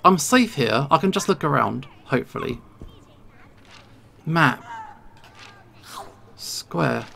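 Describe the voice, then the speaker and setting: Let's play streamer, mid-range creaky voice, nervous self-talk. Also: medium pitch, lively intonation, slow pace, bright, forceful tone. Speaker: video game streamer.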